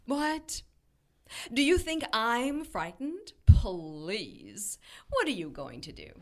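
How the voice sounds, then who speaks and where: An immortal demoness, imperious and mocking. Her high voice swoops dramatically as she takes her time over each threat. It is defiant, theatrical radio-play villainy. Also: sing-song, slow pace, exaggerated voice. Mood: scornful mockery. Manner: imperious, taunting, theatrical delivery. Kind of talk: audio drama acting.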